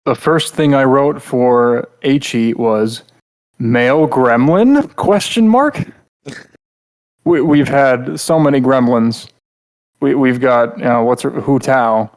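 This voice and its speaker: Male podcast co-host, mid-pitched, casual and rambling, comfortable with swearing. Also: steady tone, a little slow, a little mellow. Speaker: podcast co-host reviewing game characters. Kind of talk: casual chat.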